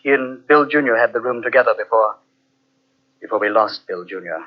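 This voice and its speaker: A man's voice: mid-range voice, a little fast, natural voice. An actor playing a secretive male host in an old radio drama, who speaks in a reassuring, evasive way. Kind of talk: 1940s radio acting. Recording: dull old radio transcription.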